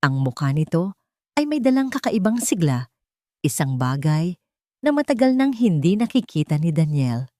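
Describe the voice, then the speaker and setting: Low-pitched Filipino woman narrating drama. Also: very animated, moderate pace, mellow, no echo, clean studio sound. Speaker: storyteller. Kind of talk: scripted dramatic audio-story narration.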